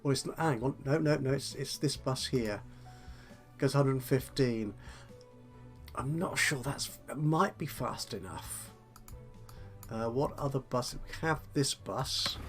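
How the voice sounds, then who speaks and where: A man with a slightly croaky voice, chatting and thinking aloud while commentating on a management game. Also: mid-range voice, a bit bright. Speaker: let's play host. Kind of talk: casual gameplay commentary.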